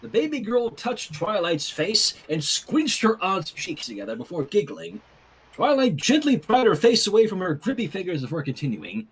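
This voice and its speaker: A male host reads a fan story aloud with playful, chatty asides. His voice is high and slightly husky. The delivery swings very widely in pitch. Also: huge pitch swings, moderate pace, low-quality recording. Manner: playful, self-interrupting. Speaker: host, fan fiction reader.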